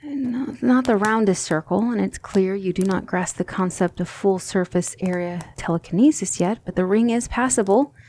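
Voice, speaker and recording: A woman playing a gentle, patient demon tutor in an ASMR roleplay, speaking in measured, formal instruction that often drops toward a whisper. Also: medium pitch, a little hiss or hum, natural voice. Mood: encouraging, focused. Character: gentle, patient demon tutor guiding a magic student, female.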